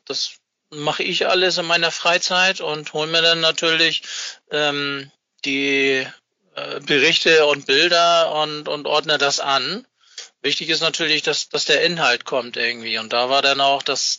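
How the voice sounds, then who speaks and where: A middle-aged man with a very bright, forward voice talks casually in an interview. He hesitates often as he gathers his words. The sound is dry and slightly dull. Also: on the high side, no room sound. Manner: halting, hesitant, searching for words. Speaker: interview guest, fan magazine maker.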